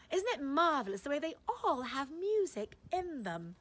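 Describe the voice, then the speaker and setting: A woman's voice: high voice, sing-song, unhurried, a bit bright, full character voice. A woman doing a gushing giggly society lady. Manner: breathless, fluttery, with many giggles and gasps. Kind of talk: dramatic reading of a short story.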